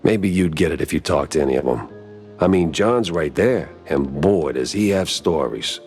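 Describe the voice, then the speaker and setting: A man with an American accent voicing a world-weary, stubborn mouse private eye in a cartoon noir game, gruff and sardonic. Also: expressive, normal speed, darkish tone, soft background music. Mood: weary, cynical. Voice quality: deep, husky.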